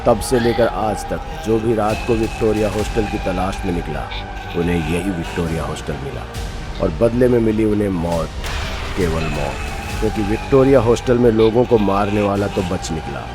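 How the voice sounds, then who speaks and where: Casting: male narrator for scripted horror stories, fairly deep voice, suspenseful, dramatic delivery. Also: normal speed, music in the background.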